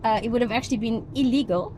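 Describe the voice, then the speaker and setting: A middle-aged woman from South Africa, giving thoughtful answers as an expert guest in a casual interview and laughing often. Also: on the high side. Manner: thoughtful, laughs often, slows to stress points. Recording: background noise.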